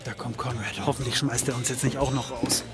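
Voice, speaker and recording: Man playing a wry, mid-pitched private detective. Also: fairly even intonation, brisk, loud music behind the voice, natural voice. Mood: resigned. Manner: dry, sardonic. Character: wry, world-weary male occult private detective. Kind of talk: occult comedy audio drama acting.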